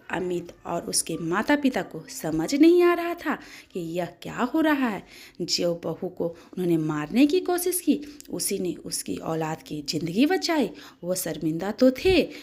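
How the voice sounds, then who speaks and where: A woman narrating a dramatic moral story in an expressive, emotive way, now and then stumbling over her words. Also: fairly low pitch, husky.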